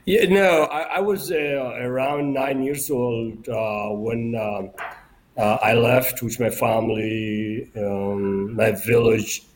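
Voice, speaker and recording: Ethiopian-accented male guest, 40s, Amharic native, unhurried and halting. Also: mid-range voice, fairly even intonation, slight background noise. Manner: halting, many fillers and restarts. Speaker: guest journalist and author. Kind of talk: remote interview.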